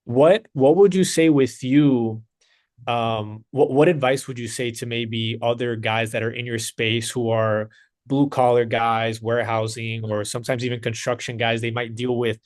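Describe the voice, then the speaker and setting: Man asking measured interview questions. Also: mid-range voice, fairly even intonation, a little mellow. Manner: measured, summarizing, asks long multi-part questions. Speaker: interviewer, business consultant.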